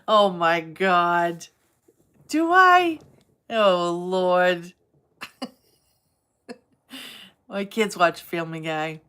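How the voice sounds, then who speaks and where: A woman's voice: mid-range voice, a bit bright. Chatty Boston woman live-streaming. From Massachusetts, south of Boston. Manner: chatty, rambling, friendly, laughs easily. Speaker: livestream host. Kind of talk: casual live-stream chat with viewers.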